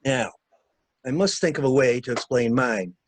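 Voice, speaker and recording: A man in an amateur mystery drama reading, playing a cool-headed gentleman stranger who briskly and wryly takes charge of a cover-up. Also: mid-range voice, slight background noise, lightly acted. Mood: cool, planning.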